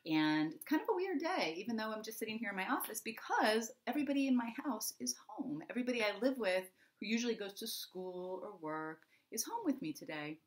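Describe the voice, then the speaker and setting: A woman's voice: fairly high pitch, animated, fairly quick, few pauses. A middle-aged American woman, a children's author, explaining things to young children in a simple, conversational teaching manner at home. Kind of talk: explaining to young children before a story reading. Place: home office, indoors. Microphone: computer webcam microphone at desk distance.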